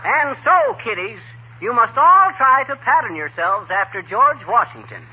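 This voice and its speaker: An actor playing a boastful, fibbing husband with a flustered, wheedling manner in a 1940s radio comedy. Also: male voice, extremely high pitch, sing-song, moderate pace, husky, full character voice. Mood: smug. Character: fast-talking, boastful, fibbing husband, nervous bluffer. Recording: tinny, faintly noisy 1940 radio broadcast.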